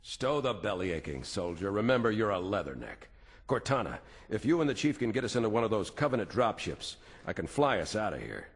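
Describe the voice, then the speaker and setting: A man playing a stern, resolute starship captain in a video game, gruff and commanding. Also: on the low side, very animated, a little fast, no echo, full character voice. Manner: commanding, gruff, urgent briefing. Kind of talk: dramatic video game voice acting. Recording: loud orchestral game soundtrack behind.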